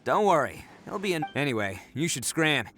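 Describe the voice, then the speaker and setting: A woman's voice: low-pitched, very animated, normal speed, fairly bright tone, a little hiss or hum. A woman playing an easygoing, self-satisfied young hero in a video game with a casual manner.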